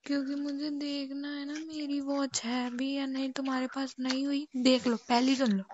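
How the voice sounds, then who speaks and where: A young woman with a very high voice chats casually on a phone call, pressing with questions. The recording is dull, with noise and clicks behind her. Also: little pitch movement, normal speed. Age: young adult. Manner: insistent, questioning. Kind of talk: casual phone chat between a couple.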